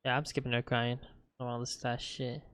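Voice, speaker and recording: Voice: mid-range voice, level tone, fast, a bit bright, clean tone, no echo. A gamer giving casual, blunt, joking commentary in slang over a game. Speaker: gaming video creator reacting.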